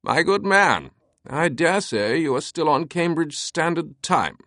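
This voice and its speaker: A man voicing a theatrical, playful British aristocrat in an audiobook, with a crisp, light voice and a mock-grand British accent. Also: a little high, lively intonation, measured pace, sharp tone, dry recording, studio quality, pitched above the performer's own voice, exaggerated voice. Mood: amused, grand. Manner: pompous, dramatic, mock-solemn.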